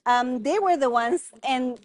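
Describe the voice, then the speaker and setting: Earnest woman, slightly high voice. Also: measured pace, no echo. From Guatemala. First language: German. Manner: thoughtful, earnest, many fillers and restarts. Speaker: guest, mining consultant. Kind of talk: conversational interview.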